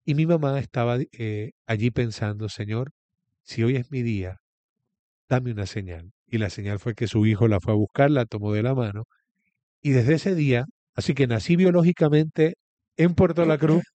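A man's voice: mid-range voice, normal speed, warm tone, no echo. A man with a Venezuelan accent giving a reflective religious talk as a guest, repeating key phrases for emphasis.

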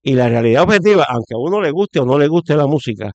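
A man's voice: on the high side, expressive, quick pace, no echo, muffled recording. A middle-aged man with a Puerto Rican accent giving opinionated political commentary in conversation, stressing points by repeating phrases. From Puerto Rico.

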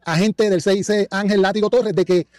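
Confrontational man with a notably high voice. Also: steady tone, moderate pace, a little mellow, no echo, clean studio sound. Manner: accusatory and confrontational. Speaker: commentator in a played clip.

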